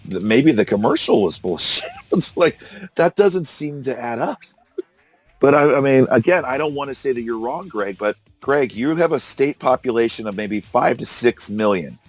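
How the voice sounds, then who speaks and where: A hoarse man hosting a casual political discussion, arguing and incredulous. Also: mid-range voice, lively intonation, normal speed, no echo, soft background music. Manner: argumentative, incredulous, laughs often. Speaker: podcast host. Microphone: call recorder.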